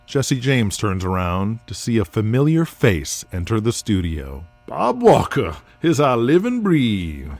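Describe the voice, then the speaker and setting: A man's voice: on the low side, very animated, a little slow, few pauses, a little mellow, no echo. A man narrating a scripted audio drama in the present tense. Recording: music bed under the voice.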